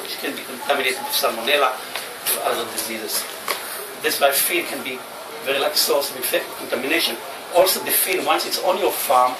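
Israeli guy lecturing on poultry in a big tent, stop-start talk, sounds far off and roomy, steady background noise. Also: medium pitch. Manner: halting, repeats words, non-native phrasing. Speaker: poultry vet presenter. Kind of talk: expo lecture. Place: large tent at an outdoor expo. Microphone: headset mic, recorded by far camera. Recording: echoey tent from a distant camera, noisy.

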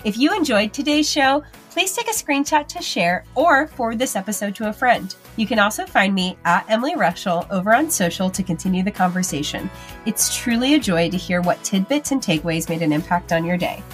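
A woman's voice: mid-range voice, animated, fairly quick. A young woman hosts her podcast in a mid-range voice, affirming and earnest. Faint music sits behind her. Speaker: podcast host. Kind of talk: podcast interview and outro.

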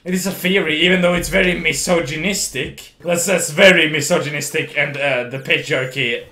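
A man's voice: fairly high pitch, steady tone, moderate pace, sharp tone. A young Swedish man with a strong Swedish accent, drunk, rambling and slurring through casual commentary while streaming a video game. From Sweden. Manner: rambling, giggly, slurring and restarting words. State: voice going hoarse. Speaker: livestream gamer.

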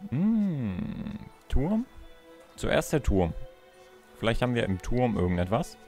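A man's voice: mid-range voice, big pitch swings, slow, creaky, darkish tone. A man giving casual let's play commentary, musing aloud in a playful way. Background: game music.

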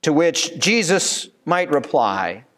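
A man's voice: a little high, very animated, slow pace, fairly bright tone. A man in his thirties, a pastor preaching a sermon at a church pulpit, earnest and given to rhetorical questions. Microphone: pulpit microphone.

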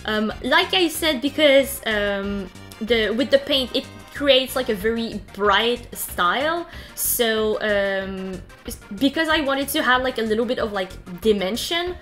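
A woman's voice: a little high, lively intonation, slow, a bit bright, soft background music. A young woman from Quebec with a French Canadian accent, chatting casually with lots of ums as she narrates her bullet journal video. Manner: chatty, many ums and uhs, light laughs.

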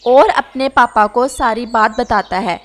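A woman's voice: fairly high pitch, a little slow, noisy background. A woman reading scripted narration for a children's story as its narrator.

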